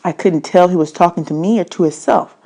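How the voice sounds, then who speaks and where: Low-pitched woman reading a story aloud. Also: fairly quick, mellow. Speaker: read-aloud narrator. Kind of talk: scripted children's novel narration, first person.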